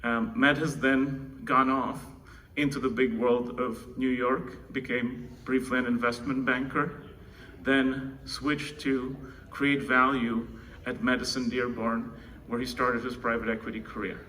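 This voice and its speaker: A man's voice: mid-range voice, flat delivery, unhurried, hardly any pauses, sharp tone. A man from the Czech Republic with a Czech accent, giving an informal introductory speech at a conference. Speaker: conference speaker introducing co-founder. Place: indoor conference room. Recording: distant mic, faint noise, room ambience.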